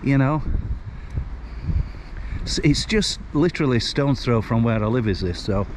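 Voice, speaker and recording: A man's voice: medium pitch, lively intonation, moderate pace, slight background noise. A man in his sixties with a Northern English accent, chatting in a rambling, folksy way as he vlogs on a walk. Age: 60s. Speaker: vlogger. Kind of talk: casual walking vlog chat. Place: outdoors on a seafront street. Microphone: handheld camera while walking.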